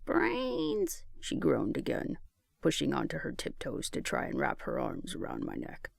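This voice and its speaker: A man's voice: mid-range voice, wildly swooping pitch, normal speed, vocal fry, breathy edge, dry recording, clean studio sound. A man reading scripted first-person fiction as an audiobook narrator.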